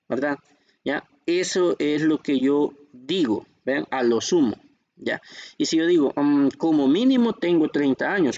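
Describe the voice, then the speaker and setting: Salvadoran male math tutor, patient, keeps checking understanding; gravelly, dry muffled sound. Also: a little high, a little mellow, no echo. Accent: Salvadoran Spanish. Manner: patient, checks in often with "¿vean?" and "¿ya?". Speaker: math teacher. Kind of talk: explaining a textbook lesson.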